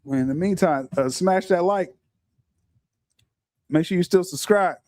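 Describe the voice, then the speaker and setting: A middle-aged man hosting a casual podcast livestream, talking in an animated way, stumbling over repeated words and often laughing. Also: high-pitched, unhurried, no echo, clean studio sound. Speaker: podcast host.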